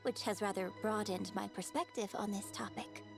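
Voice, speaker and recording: An actress playing a poised, exacting opera performer in a video game, instructing a pupil in a formal, theatrical way. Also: female voice, mid-range voice, fairly even intonation, moderate pace, creaky, over music, close to an everyday voice. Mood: earnest, lecturing. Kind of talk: scripted video game voice acting.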